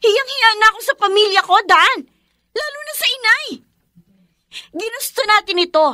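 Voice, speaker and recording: Very high-voiced, hurting young Filipina wife. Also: female voice, expressive, moderate pace, pushed and bright, dry recording, studio quality, exaggerated voice. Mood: hurt, confronting. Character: tearful young pregnant wife, remorseful daughter, pleading and hurt. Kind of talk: Filipino radio melodrama acting.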